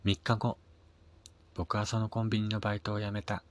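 A man with a husky voice narrating a scripted first-person radio drama, his phrase endings trailing into a breathy near-whisper. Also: lowish voice, monotone, brisk. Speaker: reader of own short story.

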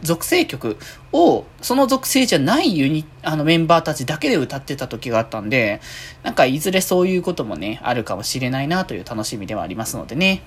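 A woman's voice: deep voice, sing-song, fast talker, breathy edge. A woman hosting a casual solo podcast, rambling along and often correcting herself as she goes. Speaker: podcast host. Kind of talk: casual solo free talk.

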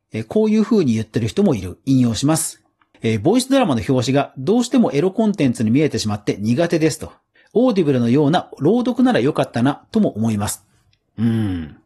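A man with a fairly high, husky voice talks through the news as a solo podcast host. His intonation moves around a lot, while the tone stays mellow. He explains things conversationally and casually.

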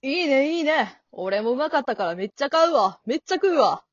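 A woman playing a cocky grown-up male monkey who talks in rough, flippant slang in an amateur comedy radio drama. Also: high voice, big pitch swings, brisk, dry recording. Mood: breezy, teasing. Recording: dull lo-fi audio.

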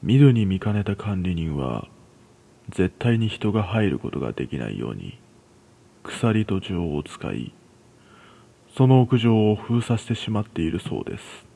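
A man reading a scripted first-person horror story in a measured, hushed storytelling manner. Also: low voice, very animated, creaky, husky.

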